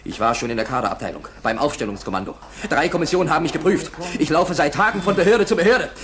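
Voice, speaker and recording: A man's voice: a little high, animated, fast, a bit bright. A man acting an earnest, determined Soviet fighter pilot lieutenant in a 1960s German radio drama. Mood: agitated. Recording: 1960s school radio tape, faint hiss.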